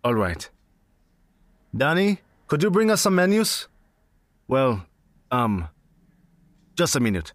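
An actor playing a café worker who is polite to customers but cautious with questions. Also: male voice, mid-range voice, sing-song, slow pace, long pauses, no room sound. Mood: wary. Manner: courteous, then cautious. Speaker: café staff. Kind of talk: audio drama acting.

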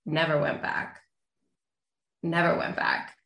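A young woman originally from Honduras, with Spanish as her first language, talks candidly as a therapist guest on an interview show. Her voice sits a touch low, and the room around her rings with a clear echo. She tells her story in a loose, lively way, full of everyday fillers. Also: slow. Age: young adult. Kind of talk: casual interview chat. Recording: roomy echo.

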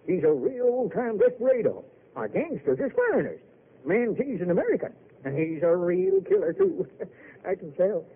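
A man's voice: sits high, huge pitch swings, normal speed, vocal fry, husky, exaggerated voice. An actor playing a boastful, folksy old desert grandfather who rambles in an ungrammatical drawl, heard on a tinny old radio broadcast. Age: older. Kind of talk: 1930s radio drama acting.

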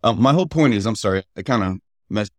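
A middle-aged man, a podcast guest, telling family stories in a halting, conversational way without a regional accent. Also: fairly low pitch, expressive, no room sound. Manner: halting, with many fillers and restarts. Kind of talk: casual interview storytelling.

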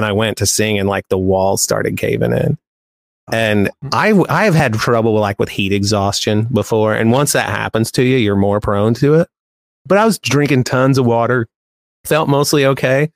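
A man's voice: lowish voice, no echo. A man co-hosting a podcast, telling anecdotes in a laid-back, colloquial way. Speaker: podcast co-host.

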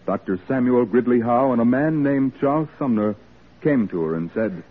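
A man's voice: medium pitch, animated, moderate pace. A man narrating a 1940s radio drama in a grave, oratorical manner. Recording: tinny 1940s radio recording, music behind.

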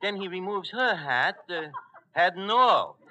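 A wisecracking New York barkeep with a high, swooping voice. He blusters chummily in slangy, stumbling grammar, in 1940s radio comedy style, on a noisy old broadcast recording. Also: very animated, measured pace, highs cut off. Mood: breezy, wisecracking. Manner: hesitant bluster with mangled words and slangy grammar.

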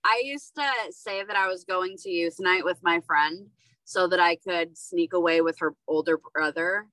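A woman's voice: on the low side, normal speed, pushed and bright, no echo, rough recording. A woman hosting a podcast, chatting casually with playful, jokey interjections. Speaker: podcast host.

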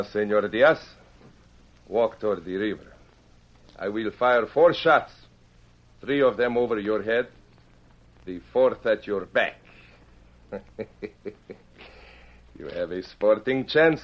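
An actor playing a cruel, mock-courteous Mexican bandit leader with a Mexican accent in an old-time radio western. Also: male voice, fairly low pitch, takes it slowly, long pauses. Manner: mocking, commanding. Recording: muffled old radio recording.

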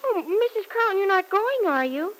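A woman's voice: extremely high pitch, sing-song, moderate pace, low-quality recording. An actress playing an eager, naive and polite young girl in a vintage radio serial, gushing and deferential. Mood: earnest, pleading. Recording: vintage radio drama recording.

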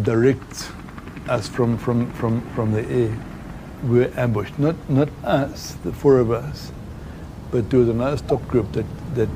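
An older man from Zambia with a Southern African accent, telling his life testimony in a halting, reflective way. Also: medium pitch, noisy background. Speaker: guest giving a testimony. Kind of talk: personal testimony storytelling. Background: music.

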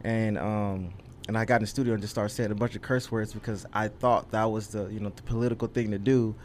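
A man's voice: lowish voice, steady tone, fast talker, a little mellow, a little hiss or hum. A young man from California, a guest on a podcast, telling his story in an earnest, rambling way full of ums and you knows. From California, USA. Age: young adult. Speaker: rapper, guest. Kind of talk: casual interview chat.

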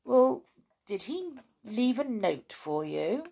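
Lady playing a cheery comforting toy girl, high and bouncy, kids storybook. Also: big pitch swings, gravelly, warm tone, exaggerated voice. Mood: reassuring. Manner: brisk, comforting reassurance.

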